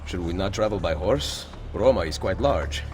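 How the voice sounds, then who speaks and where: An actor playing a determined, defiant Italian assassin in a game cutscene, speaking tersely and formally with an Italian accent. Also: male voice, deep voice, animated, moderate pace, a little mellow. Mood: curt, challenging. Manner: firm, terse, formal phrasing. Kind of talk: video game cutscene acting. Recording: game music behind the voice. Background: music.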